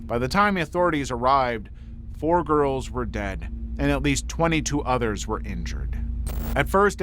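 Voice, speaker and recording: A man's voice: mid-range voice, a bit bright. Male narrator for scripted true-crime documentary, medium register, sober delivery, audible ambient noise.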